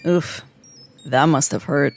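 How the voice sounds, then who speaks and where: A woman's voice: low-pitched, takes it slowly, creaky, faint music behind, full character voice. A woman playing an irreverent, sardonic, teasing spy who speaks in quips in an audio comedy drama. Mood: teasing, relieved. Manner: sardonic, quippy. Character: wisecracking female ex-convict spy, irreverent, teasing.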